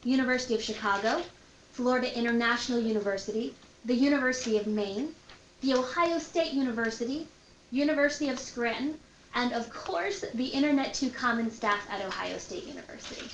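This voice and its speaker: A woman's voice: on the high side, fairly quick, muffled recording. A woman hosting an event, giving informal welcome announcements in an encouraging, reassuring way. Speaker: event host. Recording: echoey room, faint background noise.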